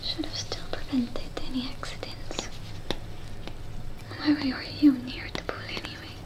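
A young woman playing a patient robot repair technician in a soothing, soft-spoken ASMR roleplay. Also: high voice, level tone, low-quality recording. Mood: patient, questioning. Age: young adult. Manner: soothing, slow, reassuring. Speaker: ASMR performer. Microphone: close microphone.

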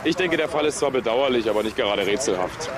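An actor playing a blunt, worldly junior police detective with a casual, matter-of-fact manner in a German crime radio drama. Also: male voice, medium pitch, expressive, fast talker, a crowd in the background, close to an everyday voice. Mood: dry, assured. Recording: noisy outdoor ambience.

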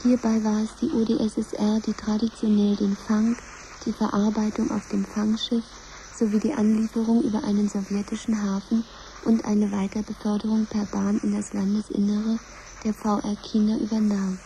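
An actress playing the official computer voice of a command centre in a 1970s radio drama, formal, measured and ceremonious. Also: female voice, medium pitch, flat delivery, dark tone, slight background noise, close to an everyday voice, poor audio. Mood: solemn, official.

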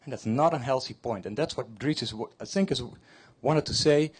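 Casting: man, conference presenter, relaxed delivery with joking asides. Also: mid-range voice, brisk, husky. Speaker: conference speaker. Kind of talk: short slide talk. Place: conference hall.

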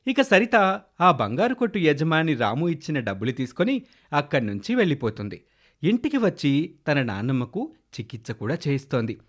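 Guy narrating a kids fairy tale, slightly high voice, very up n down delivery. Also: sing-song, moderate pace, a bit bright. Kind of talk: scripted children's story narration.

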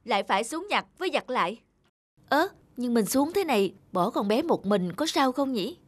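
High-voiced young cartoon mother. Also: sing-song, moderate pace, breathy edge, dry recording. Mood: casual, unworried. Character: young doting, anxious mother of a baby. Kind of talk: cartoon voice acting.

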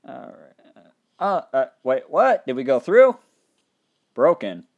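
A young man hosting a casual game commentary, thinking aloud and breaking into loud excited outbursts, his mouth dry and his voice getting tired. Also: medium pitch, slow, lo-fi sound. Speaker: gaming channel host. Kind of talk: casual let's play commentary.

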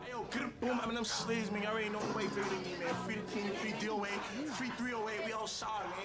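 A man with an extremely high voice runs quickly through slangy, half-sung rap lines and shout-outs. Very loud hip hop music runs along with him. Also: steady tone.

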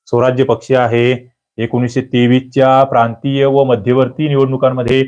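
A man's voice: mid-range voice, flat delivery, moderate pace, a little mellow, muffled recording. A man with a Marathi accent giving an online exam-prep quiz lecture, emphatic and repetitive, often putting questions to his listeners.

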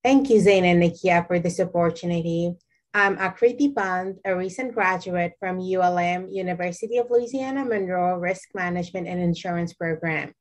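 A woman's voice: fairly low pitch, normal speed. A woman from Nepal, a graduate student, giving a polite, prepared introduction on a video call. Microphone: webcam video call.

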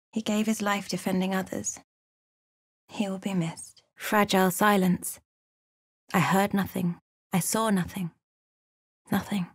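A woman voicing a solemn adventurer who narrates a fantasy game journey in a measured storytelling manner. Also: medium pitch, no room sound, clean studio sound, close to an everyday voice.

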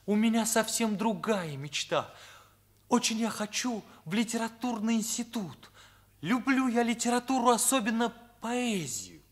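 A man's voice: very high-pitched, sing-song, a little slow, heavily acted. An actor playing a troubled, sincere ninth-grade schoolboy in a radio drama, earnest, searching and hesitant in his delivery. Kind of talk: Soviet radio drama acting.

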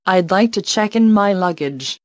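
A woman reads short English travel phrases one at a time, slow and careful, as if for learners to repeat. Her voice sits at an ordinary middle pitch. The sound is dry and close with no room around it. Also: lively intonation, dry recording, highs cut off. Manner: careful, evenly paced, each phrase read alone.

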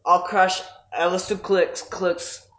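A man's voice: high voice, flat delivery, slow pace, fairly bright tone, reverberant. A teenage boy in casual voice chat while gaming, bossy and trash-talking. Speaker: gamer.

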